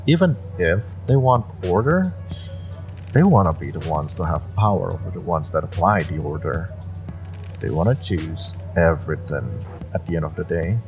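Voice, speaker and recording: A man's voice: a little mellow, full character voice, rough recording. A man playing a jaded, evasive supernatural driver in an intimate audio roleplay, talking in an offhand, hesitant, wry way. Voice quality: low, gravelly. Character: jaded, evasive male Omega driver, secretly a former judge. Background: music and background ambience.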